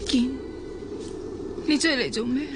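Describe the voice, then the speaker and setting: Radio drama role: youthful-sounding immortal woman, high voice, melodramatic and lonely, Hong Kong Cantonese accent, music underneath. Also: takes it slowly. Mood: bitter, lonely. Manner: emotional, pleading, melodramatic. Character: immortal woman in her eighties who looks twenty, lonely, sorrowful.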